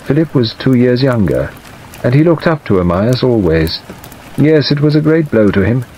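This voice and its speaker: A man voicing a weak, hesitant middle-aged gentleman in an audiobook, plaintive and wistful. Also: medium pitch, voice pushed up, lightly acted. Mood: sorrowful, confiding. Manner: hesitant, halting, self-qualifying. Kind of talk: dramatised audiobook reading. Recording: dull audio under steady rain noise.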